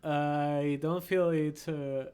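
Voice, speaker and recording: A man's voice: on the high side, steady tone, extremely slow, crowd noise. A man from Peru with a Peruvian Spanish accent, chatting casually as an interview guest and searching for his words in English. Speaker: podcast guest, travelling artist.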